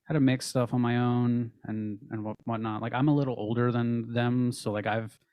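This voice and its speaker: Male musician-engineer, late twenties, middle pitch, loose conversational interview delivery, no room sound. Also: fairly even intonation, normal speed, smooth, no echo, dull audio. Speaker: band member and recording engineer, guest. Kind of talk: casual chat.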